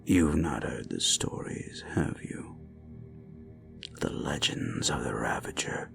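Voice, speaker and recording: Cruel slasher killer, super low gravelly half-whisper, slow n taunting, horror roleplay w music behind. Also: male voice, sing-song, full character voice. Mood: menacing, taunting.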